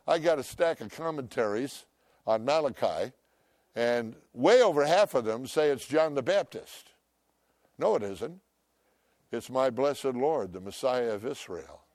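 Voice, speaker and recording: An older man preaching a sermon, loud and emphatic, swinging between shouts and hushed asides. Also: fairly high pitch, no echo, clean studio sound. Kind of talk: impassioned sermon.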